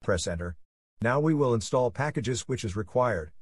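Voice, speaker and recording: A man reading scripted software tutorial narration, giving plain step-by-step instructions. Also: medium pitch, expressive, normal speed, slightly rough, dry recording, studio quality.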